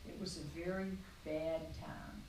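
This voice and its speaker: A woman's voice: deep voice, steady tone, measured pace, a little mellow, far from the mic, a little hiss or hum. Sixty-eight-year-old grandmother, echoey room. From Virginia, USA. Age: 60s. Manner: friendly, informative, hands questions to her husband. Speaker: grandmother being interviewed. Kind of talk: family interview, casual recollection. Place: home, beside a fireplace.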